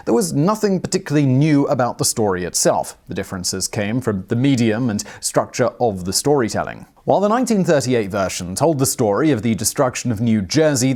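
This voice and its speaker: Male narrator, mid-pitched, scripted read, punches key phrases upward. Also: darkish tone.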